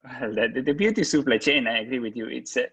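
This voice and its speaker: A man who grew up in Switzerland, a company executive answering questions in a webinar, talking haltingly with many restarts. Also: mid-range voice, a bit bright, breathy edge, dry recording. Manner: hesitant, many restarts and fillers. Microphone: video call.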